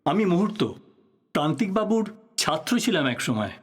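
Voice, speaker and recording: Earnest journalist, husky, slightly high voice, lively intonation, courteous but persistent, audio drama. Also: male voice, brisk, exaggerated voice. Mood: polite, reflective. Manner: polite, probing, musing to himself. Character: earnest, persistent male journalist probing his old teacher's death. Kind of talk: Bengali audio drama acting.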